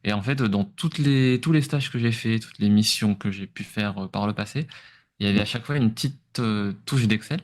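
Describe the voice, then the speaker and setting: A man with a fairly low voice and an accent from France speaks as an interview guest. He explains things informally, feeling his way through sentences with some hesitation. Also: normal speed. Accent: French from France. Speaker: podcast guest, entrepreneur. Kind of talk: conversational interview answers.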